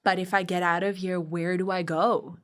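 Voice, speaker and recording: A woman's voice: fairly low pitch, little pitch movement, normal speed. A woman from Pakistan speaking as a podcast guest, telling her personal story in a reflective, emphatic and articulate way. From Karachi, Pakistan. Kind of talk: candid interview, personal storytelling.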